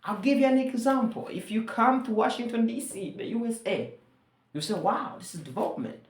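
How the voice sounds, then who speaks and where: A woman's voice: a little high, expressive, fairly quick, echoey room. A woman from Uganda whose first language is Luganda, speaking in an earnest, rallying way and repeating phrases for emphasis. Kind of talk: persuasive monologue to camera.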